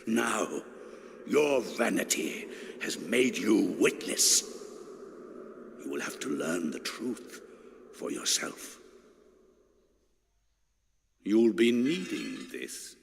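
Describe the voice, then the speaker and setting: A sly, arrogant old sorcerer from a dark video game. He mocks in a fairly low, creaky voice with highly varied intonation, savouring each condescending phrase. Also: very animated, very slow, pauses often, long reverb tail, heavily acted. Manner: sneering, theatrical, condescending. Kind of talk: dramatic video game acting.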